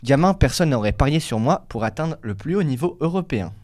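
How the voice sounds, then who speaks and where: A man's voice: a little high, lively intonation, brisk, darkish tone. A young man hosting a casual football quiz among friends, reading clues aloud and tripping over his words. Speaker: quiz host.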